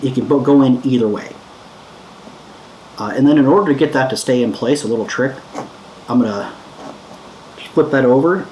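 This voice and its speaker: Easygoing guy doing a casual diy tutorial, mid pitch, echoey room w a bit of noise. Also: a little mellow. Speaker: host of a DIY electronics repair tutorial. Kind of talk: casual hands-on tutorial talk.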